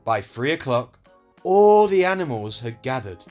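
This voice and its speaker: A man reading a children's story aloud as host and storyteller, in an expressive, explanatory way. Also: mid-range voice, a little slow, soft background music.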